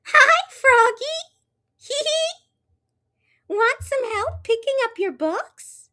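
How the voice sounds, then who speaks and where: A woman voicing a helpful, giggly little girl frog with a squeaky manner in a children's storybook. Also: lively intonation, slow, sharp tone, full character voice. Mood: giggly, friendly.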